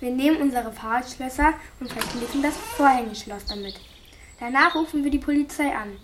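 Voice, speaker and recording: A woman's voice: high-pitched, brisk, natural voice. A young female performer playing an earnest, resourceful girl detective in an amateur radio play. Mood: resolute. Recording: amateur recording with faint noise.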